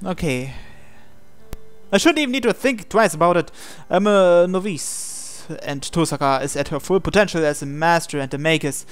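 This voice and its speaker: A male gaming host narrates visual novel text in a clear, slightly high voice, slipping in personal asides. Soft music plays behind him. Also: lively intonation, moderate pace.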